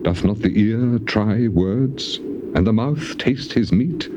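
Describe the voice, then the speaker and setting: A man's voice: on the low side, takes it slowly. An actor playing an anguished, bitterly sarcastic righteous man in a dramatized scripture reading, declaiming in solemn archaic phrases. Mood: grave declaiming. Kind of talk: dramatized scripture reading, classical stage acting. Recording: muffled old cassette tape with clear noise.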